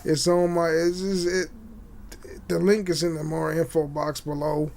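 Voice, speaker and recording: Young adult man, slightly high voice, casual podcast host, halting delivery, repeated words, sweary, laid-back. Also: level tone, gravelly, darkish tone. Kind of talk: casual rambling chat with swearing. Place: small home room indoors. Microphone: condenser mic on boom arm.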